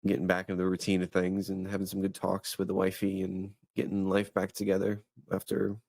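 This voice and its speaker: A man's voice: low-pitched, completely flat delivery, fairly quick, gravelly, a little mellow, no room sound. A man in his thirties co-hosting a faith podcast, chatting casually and rambling with many restarts and fillers. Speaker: podcast host. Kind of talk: casual chat.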